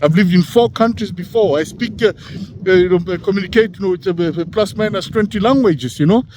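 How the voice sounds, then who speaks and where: A man in his sixties from Africa, talking in a halting, rambling way, full of "you know" fillers. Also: sits high, darkish tone, a little hiss or hum. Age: 60s. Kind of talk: casual rambling monologue.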